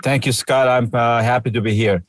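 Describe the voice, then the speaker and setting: A man's voice: medium pitch, steady tone, normal speed, no room sound. A middle-aged man with a Turkish accent, a surgeon guest on a podcast, explaining at length with many "uh" fillers. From Turkey. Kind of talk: podcast interview.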